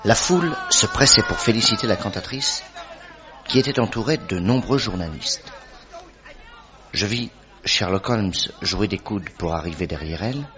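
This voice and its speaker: Man playing wry detective's sidekick and narrator, husky, very varied intonation, radio drama, dull muffled recording. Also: lowish voice, moderate pace, a crowd in the background, natural voice. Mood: wry narrating. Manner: wry, expressive storytelling.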